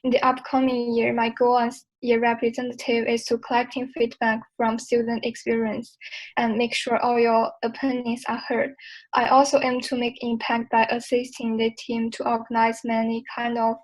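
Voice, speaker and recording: A woman's voice: a little high, completely flat delivery, normal speed, low-quality recording. A young woman, a student candidate, reading a formal campaign statement carefully. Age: young adult.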